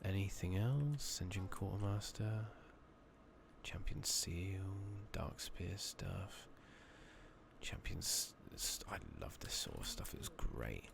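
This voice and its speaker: A man with a very low voice, heavy with vocal fry, softly narrates his game in an ASMR style. His hushed delivery rises and dips in a lively way as he muses to himself, unhurried, at times close to a whisper. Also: noisy background. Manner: hushed, murmured, sometimes slipping into whisper. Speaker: gaming channel host. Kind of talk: soft-spoken ASMR game playthrough commentary.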